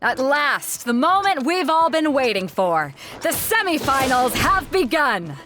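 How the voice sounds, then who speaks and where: Arena announcer, high lively voice, hyping it up, game music behind. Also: female voice, measured pace. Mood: hyped. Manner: showy, hyping the crowd. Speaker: tournament announcer.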